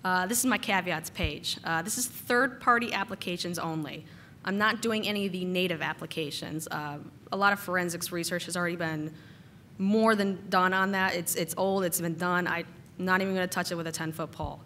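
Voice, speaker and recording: A woman giving a casual technical conference talk, slightly hoarse from a cold and using lots of "uh" and "um" fillers. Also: lowish voice, brisk, sharp tone, slight background noise. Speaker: conference speaker. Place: conference hall.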